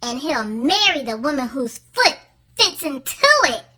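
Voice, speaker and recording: A woman's voice: high voice, sing-song, unhurried, sharp tone, pitched above the performer's own voice, full character voice. A woman voicing a vain, bossy young stepsister in a storybook, pert and self-important. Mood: excited.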